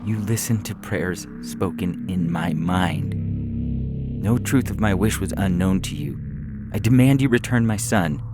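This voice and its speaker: A man's voice: low-pitched, unhurried, pitched above the performer's own voice. A man voicing a desperate, indignant bereaved father with impassioned, formal phrasing in a science fiction story. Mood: indignant, pleading. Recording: music behind the voice.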